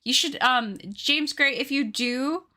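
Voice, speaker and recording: A woman's voice: high voice, slow, sharp tone. A woman in her late twenties chatting casually with her live-stream viewers while she crafts, joking and teasing herself. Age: 20s. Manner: chatty, joking with chat, self-deprecating.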